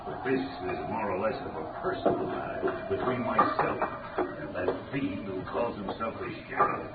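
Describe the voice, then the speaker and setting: A man playing a gruff, bossy police commissioner who blusters and barks orders in 1930s radio drama style. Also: deep voice, moderate pace, close to an everyday voice. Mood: impatient. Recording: very noisy, distant, tinny 1930s radio.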